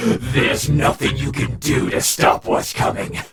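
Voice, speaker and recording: A man voicing a menacing, cackling demon with a gravelly voice, layered with pitch shifts, distortion and chorus. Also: a bit bright, full character voice.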